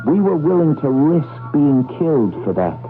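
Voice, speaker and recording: An impassioned rebel speaks through a narrow, tinny old radio broadcast. His pitch swings widely as he argues with urgent, theatrical emphasis. Faint music sits behind him. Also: very animated, normal speed. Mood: defiant, rallying. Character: defiant, impassioned male rebel planning humanity's revival. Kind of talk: 1980s radio drama acting.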